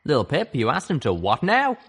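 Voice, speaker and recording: A man's voice: fairly high pitch, huge pitch swings, normal speed, vocal fry, a bit bright, husky, pitched above the performer's own voice, heavily acted. A man voicing a male pegasus pony friend in a storybook reading, blunt and grumbling. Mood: grousing.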